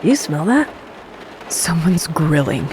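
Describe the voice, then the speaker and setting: Woman acting a slow-talking, low-voiced traveler amid loud effects. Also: very animated, noisy background, heavily acted. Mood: relaxed, eager. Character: hungry, casual traveler in a post-apocalyptic road story. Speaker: audio drama actor. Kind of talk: naturalistic audio drama acting.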